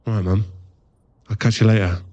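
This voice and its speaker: A man's voice: on the low side, steady tone, fairly quick, a little mellow, lo-fi sound, slight background noise, natural voice. A man playing a playful, affectionate, laddish werewolf boyfriend in a casual, teasing ASMR roleplay. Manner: casual, laddish, teasing, drops into murmured asides.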